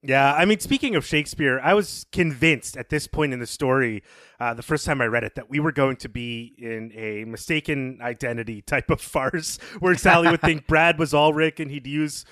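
A man's voice: mid-range voice, moderate pace, a bit bright, no room sound, clean studio sound. A man co-hosting a podcast, talking through literary analysis in an opinionated, emphatic, conversational way. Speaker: podcast co-host.

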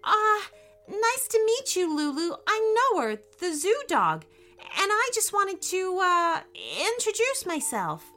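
A woman's voice: very high voice, big pitch swings, slow, bright, forceful tone, soft background music, voice pushed up, full character voice. A woman voicing a friendly, polite male zoo dog who hesitates and stammers. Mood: awkward polite.

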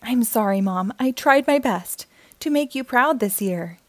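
A woman's voice: a little high, lively intonation, unhurried, dry recording. A woman reading the part of an eager, determined child dragon hunter in an earnest storybook delivery. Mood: apologetic.

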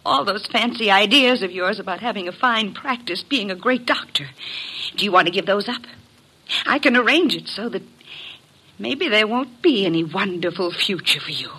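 An actress playing a jilted, vindictive married woman in an old radio drama, with a melodramatic, drawn-out delivery. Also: medium pitch, animated, bright, forceful tone, breathy edge, heavily acted, poor audio. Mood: bitter, threatening. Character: jilted, vindictive married woman, a doctor's patient and spurned lover.

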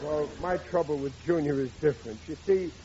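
A man's voice: a little high, fairly quick, a loud crowd, natural voice. An actor playing a blustering, self-pitying, rather dim working-class father in an old radio comedy. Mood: fretful. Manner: whining, indignant, colloquial. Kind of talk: 1940s radio comedy acting. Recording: dull, noisy old radio recording.

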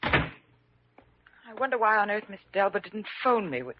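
Polite, brittle society mother: animated, formal delivery, vintage radio drama on a dull recording. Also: mid-range voice, unhurried. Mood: anxious, flustered. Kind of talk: classic radio drama acting.

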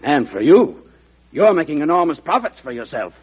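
An actor playing a vain, self-important cabinet minister in an old-time radio drama, with a rough voice and an aggrieved, theatrical manner. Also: male voice, on the high side, very animated, moderate pace, full character voice. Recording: muffled vintage radio recording.

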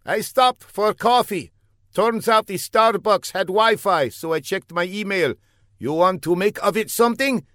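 A man's voice: high voice, measured pace, bright, forceful tone, no room sound, voice pushed up. A man voicing a pompous, demanding older bearded secret agent who bellows in stilted, broken English.

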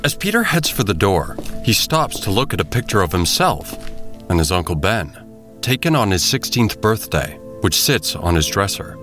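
A man narrating a scripted audio drama as a measured, descriptive storyteller. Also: fairly low pitch, sing-song, music in the background. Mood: storytelling.

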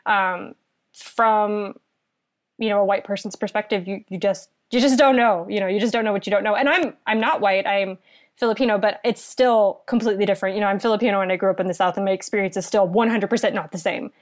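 A woman's voice: mid-range voice, fairly even intonation, fast. A young woman from southeastern Virginia recommending a book in a casual chat, earnest and thoughtful, rambling a little.

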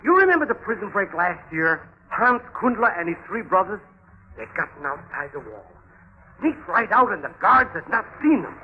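A high-voiced, excitable convict on old radio. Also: male voice. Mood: excited, mirthful. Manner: halting, mirthful storytelling. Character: giggly, excitable male prison inmate, gossipy and amused. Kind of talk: old-time radio drama acting. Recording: muffled, hissy vintage radio recording.

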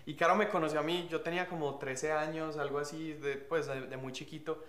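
A man's voice: on the high side, steady tone, fairly quick, a bit bright, roomy sound. A young man telling a shared love story in an easygoing, affectionate way. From Colombia. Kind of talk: casual storytelling.

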